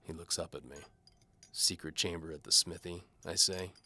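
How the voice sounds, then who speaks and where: A man performs a cynical mercenary telling his own story in a fantasy audio drama. The voice is very low with a sharp, forceful edge, terse and wry in hardboiled fashion, over faint background noise. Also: fairly even intonation, moderate pace, exaggerated voice. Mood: probing. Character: cynical, hard-bitten male mercenary bowman narrating his case. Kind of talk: scripted first-person noir fantasy narration.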